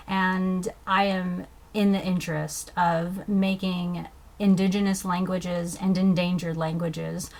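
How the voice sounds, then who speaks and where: A woman from the States, teaching basic phrases as a beginning learner, pronouncing words slowly and deliberately. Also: fairly low pitch, vocal fry. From southern United States. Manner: careful, deliberate pronunciation with fillers. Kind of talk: slow instructional explanation.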